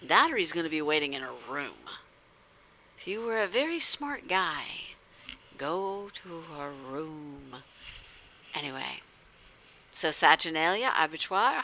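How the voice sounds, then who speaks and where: Female streamer narrating a story game: low-pitched, slow-paced, playful and theatrical. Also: a little hiss or hum. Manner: animated, theatrical, drops into whispers. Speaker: livestream host reading a visual novel with chat. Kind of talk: playful livestream chat mixed with story narration.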